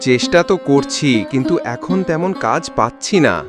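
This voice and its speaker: A man's voice: medium pitch, expressive, normal speed, over music, lightly acted. A man playing a worried middle-aged village father in a cartoon fairytale. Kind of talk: Bengali cartoon fairytale dialogue.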